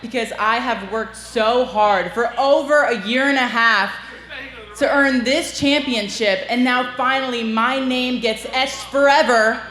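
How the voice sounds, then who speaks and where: A woman's voice: a little high, measured pace, fairly bright tone. A woman in her twenties, a wrestling champion, speaking proudly and defiantly in a promo interview. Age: 20s. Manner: proud, defiant, trash-talking. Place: wrestling arena. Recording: echoey arena with background noise.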